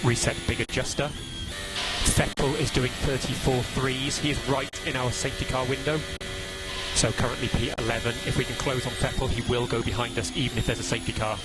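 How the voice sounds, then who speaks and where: A man's voice: medium pitch, little pitch movement, brisk, over loud music, poor audio. A man working as a race engineer on team radio, giving clipped, repeated instructions and updates and urging his driver on.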